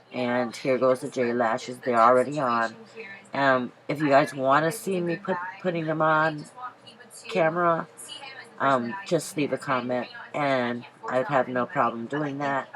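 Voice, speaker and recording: A woman with a very low voice, congested from a cold, narrates her makeup routine. She rambles casually, pausing on ums and restarting her sentences. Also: poor audio. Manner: chatty, rambling, many ums and self-corrections. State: stuffy from a cold. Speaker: beauty vlogger. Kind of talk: casual makeup tutorial voice-over.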